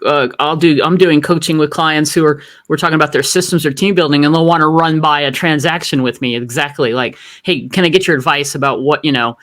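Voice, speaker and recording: A man hosts a coaching interview in a casual, conversational way. His voice sits a little high for a man. He responds warmly and affirms what his guest says. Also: fairly even intonation, brisk, few pauses.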